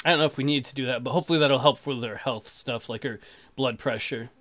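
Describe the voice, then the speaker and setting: Male let's player, profane and sarcastic. Bright, lively delivery over game music. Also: mid-range voice, fast. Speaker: gaming video host. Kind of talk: casual let's play commentary, reading game text aloud. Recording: music behind the voice.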